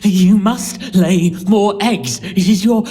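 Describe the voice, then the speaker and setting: A woman's voice: mid-range voice, slow, mellow, faint music behind, natural voice. A woman playing a giant's scheming servant in an audio pantomime, obsequious, sly and theatrical. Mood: bullying. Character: fawning, scheming servant of a giant, bullying jailer. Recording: long echoing dungeon-like reverb.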